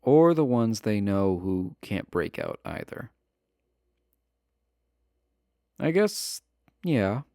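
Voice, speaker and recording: Guy acting as a awkward sweet prisoner villain, stammery and earnest, slow gravelly voice, really dry close sound, romance roleplay. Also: on the low side, sing-song, warm tone, no echo, exaggerated voice. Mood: hesitant, explaining. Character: shy, adorkable imprisoned villain, friendly and earnest. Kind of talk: romantic roleplay audio, spoken to the listener.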